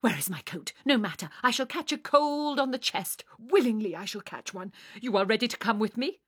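A woman's voice: on the high side, expressive, fairly quick, slightly rough, dry recording. Woman acting pompous German male professor, prudish, agitated, exclamatory, literary story, close dry studio recording. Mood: flustered, alarmed. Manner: agitated, exclamatory, self-important. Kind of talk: dramatised reading of a literary short story.

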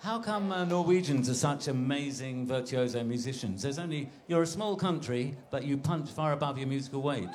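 A man's voice: medium pitch, normal speed, few pauses, darkish tone. A man hosting an onstage interview at a festival, asking questions with enthusiasm. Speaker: festival stage host.

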